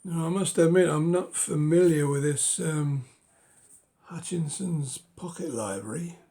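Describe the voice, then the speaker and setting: A middle-aged man with a cold, speaking hoarsely and haltingly in a casual show-and-tell of his books, often dropping to a whisper. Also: on the high side, mellow. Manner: halting, many ums and uhs, drops to a whisper. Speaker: book collector vlogger.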